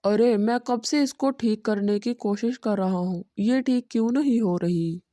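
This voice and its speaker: A woman's voice: medium pitch, measured pace, mellow, dry recording, studio quality, close to an everyday voice. A woman voicing a lazy, cunning young male cobbler with a glib, persuasive way of talking. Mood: impatient.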